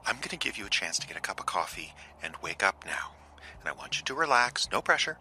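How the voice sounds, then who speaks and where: An actor playing an exasperated, bullying animation producer in a comic audio drama, sarcastic and emphatic. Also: male voice, deep voice, very animated, fast talker, pushed and bright, a little hiss or hum, full character voice. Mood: menacing sarcasm.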